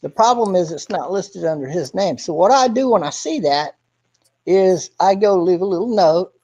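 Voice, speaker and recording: A man's voice: sits high, animated, moderate pace, dark tone. A man hosting a casual livestream, explaining things step by step in a rambling way. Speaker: livestream host. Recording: dull lo-fi stream audio.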